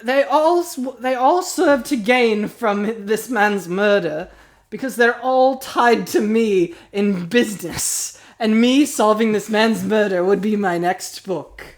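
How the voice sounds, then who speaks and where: Guy putting on a high voiced old grandma, forgetful n flustered, rambly dramatic, comedy murder mystery improv. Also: slow. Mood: defensive. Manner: rambling, self-justifying, melodramatic.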